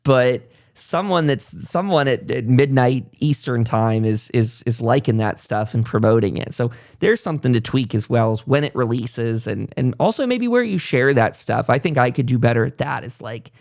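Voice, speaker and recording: A man's voice: mid-range voice, lively intonation, moderate pace, few pauses, darkish tone. A man hosting a podcast in a casual, conversational way, informal and encouraging as he rambles along. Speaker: podcast host.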